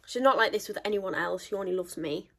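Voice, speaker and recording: Brief: woman, twenties, casual beauty vlogger, stuffy from a cold, a little husky, rambling chat filled with ums and likes, close phone mic in a small home office. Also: medium pitch, fast. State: congested with a cold.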